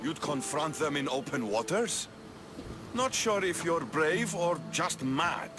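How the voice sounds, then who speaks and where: An actor playing a proud, earnest Spartan military leader in a video game, speaking tersely. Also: male voice, fairly high pitch, animated, slow pace, over music. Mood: serious. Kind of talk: video game cutscene acting.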